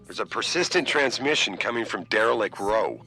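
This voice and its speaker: Forceful, decisive corporate boss. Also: male voice, lowish voice, animated, moderate pace. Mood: brisk, commanding. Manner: decisive, directive. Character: commanding, businesslike male corporate boss. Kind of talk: video game voice acting.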